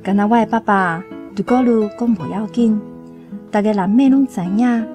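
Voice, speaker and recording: A woman's voice: mid-range voice, normal speed, over music, lightly acted. A woman with a Taiwanese accent playing a sorrowful young chieftain's daughter in a radio drama. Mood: wistful. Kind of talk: first-person radio drama monologue.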